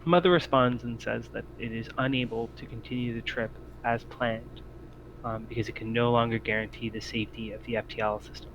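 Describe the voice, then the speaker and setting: A man's voice: medium pitch, steady tone, brisk, fairly bright tone, slight background noise, poor audio. A man acting as game master, improvising tabletop game narration in a hesitant, explanatory way.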